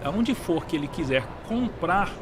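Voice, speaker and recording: Higher-pitched Brazilian man over clear background noise. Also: animated, unhurried. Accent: Brazilian Portuguese. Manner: repeats and restarts words often. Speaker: guest, real estate sales director. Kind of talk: conversational podcast interview. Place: busy trade fair podcast booth. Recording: clearly noisy event floor with traffic-like ambience.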